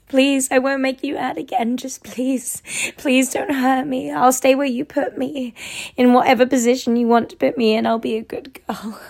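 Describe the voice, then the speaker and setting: A woman's voice: high voice, vocal fry, full character voice. A young woman acting in an adult audio roleplay, playing a submissive character who pleads and often drops into a whisper. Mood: pleading.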